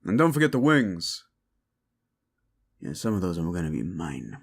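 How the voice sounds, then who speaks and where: A man playing a gruff, world-weary demon agent who hunts monsters, with a terse, laid-back, wry drawl, in an action-horror audio drama. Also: low voice, huge pitch swings, moderate pace, full character voice. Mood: casual, wry.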